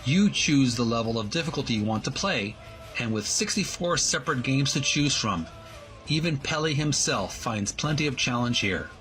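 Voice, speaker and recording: Guy hosting a retro game channel, mid pitch, reads stuff out haltingly, music in the back. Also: expressive, a bit bright. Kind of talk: read-aloud book walkthrough with commentary.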